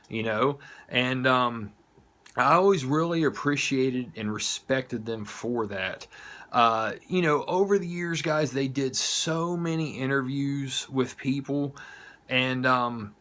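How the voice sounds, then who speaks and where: Slow-paced man with a Southern accent. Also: medium pitch, fairly bright tone. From United States, not from the South. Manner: informal, blunt, occasional swearing. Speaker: host of an online horror show. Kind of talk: casual heartfelt monologue to viewers.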